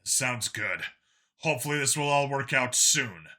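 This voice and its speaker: Man acting a blunt, forceful cop. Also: mid-range voice, lively intonation, a little slow. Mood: dry. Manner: blunt, insistent. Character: blunt human policeman among aliens, forceful and sardonic.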